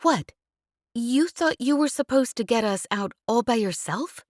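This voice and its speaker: A woman's voice: fairly high pitch, lively intonation, measured pace, a little mellow, no room sound, clean studio sound, pitched above the performer's own voice. A woman doing a brash, teasing young pilot. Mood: exasperated. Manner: cheeky, relentless chatter. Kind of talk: audiobook character reading.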